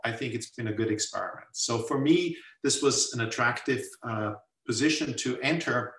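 A man's voice: medium pitch, fairly bright tone, recorded from a distance. Older man, thoughtful, lots of uhs, roomy room, away from the mic. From Austria. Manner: thoughtful, many filler pauses. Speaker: guest professor. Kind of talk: webinar talk. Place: home room on a video call. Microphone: laptop mic on video call. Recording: roomy video call audio, mic set back.

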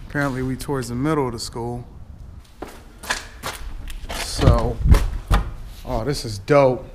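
A man walking through an abandoned school with an action camera, talking to himself in low asides and getting excited at what he finds. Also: noisy background. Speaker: urban explorer vlogger. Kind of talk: casual walk-through narration. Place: abandoned school building, large indoor halls. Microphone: head or chest-mounted action camera.

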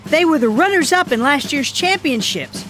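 An earnest young boy explains things to his football team in a cartoon. The voice is high and lively, with light music playing behind it. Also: moderate pace. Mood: serious, informative. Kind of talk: animated cartoon dialogue. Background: background music.

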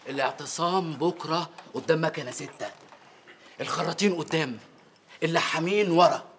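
A man's voice: on the high side, normal speed, background noise, close to an everyday voice. A man playing a factory foreman giving rallying orders, in an Egyptian accent. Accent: Egyptian Arabic. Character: rousing male factory foreman, scheming.

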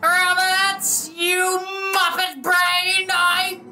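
Woman as a shrill, piercing villain boss. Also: flat delivery, extremely slow, pushed and bright, faint music behind, full character voice. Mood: exasperated, bossy.

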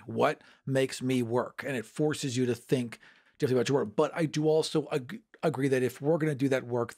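A man in casual podcast chat, speaking emphatically and repeating phrases for effect. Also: mid-range voice. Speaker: podcast panelist.

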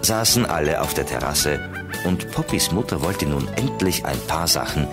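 A man's voice: a bass voice, expressive, normal speed, music in the background. A man narrating a children's audio drama as a scripted storyteller.

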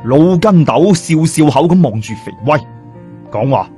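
Cantonese guy reading a story dramatically, lively highish voice, bit of music under. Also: a little slow. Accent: Hong Kong Cantonese. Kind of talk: dramatic scripted audiobook narration.

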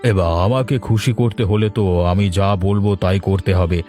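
A man's voice: low-pitched, steady tone, a little slow, warm tone, faint music behind. A man voicing a power-hungry, commanding village boss in a cartoon tale. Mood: calculating. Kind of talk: Bengali fairy-tale cartoon voice acting.

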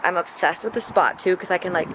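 A woman's voice: on the low side, quick pace, roomy sound, noisy background. A young woman, chatting casually to the camera in a vlog, upbeat and full of "like" and "y'all". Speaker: vlogger. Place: home kitchen and apartment balcony.

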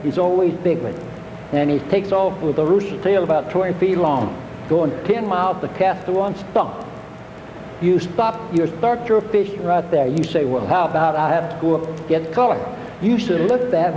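Older man, fairly high voice, folksy seminar speaker, recorded in a reverberant hall with faint hiss. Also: dark tone, highs cut off. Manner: homespun, repetitive, plainspoken. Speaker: fishing instructor.

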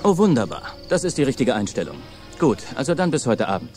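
Actor as eager middle-aged businessman pitching hard. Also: big pitch swings, fast talker, music in the background, lightly acted. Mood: eager, polite. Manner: hesitant fillers, ingratiating salesman talk. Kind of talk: German-dubbed film dialogue.

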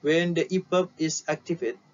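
Young Indonesian male instructor, unhurried and halting, explaining slides informally on dull headset audio. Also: on the high side. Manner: halting, explanatory, repeats key terms. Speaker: maritime safety instructor. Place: indoor room. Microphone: headset at a computer. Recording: dull lo-fi audio with clear background noise.

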